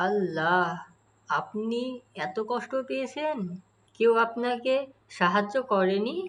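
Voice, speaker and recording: A woman's voice: a little high, animated, slow pace, a bit bright. A woman voicing a small, compassionate boy in a cartoon moral story, speaking earnestly in short, pleading phrases. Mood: concerned. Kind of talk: dramatised moral story dialogue. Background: music.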